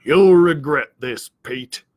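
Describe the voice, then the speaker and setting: A man's voice: a little high, slow pace, a little mellow, no echo. A man voicing a vain, gullible storybook cowdog in a blustering, stammering, self-important manner. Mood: indignant. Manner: blustering, hesitant, stammering, pompous. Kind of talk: children's storybook character voice.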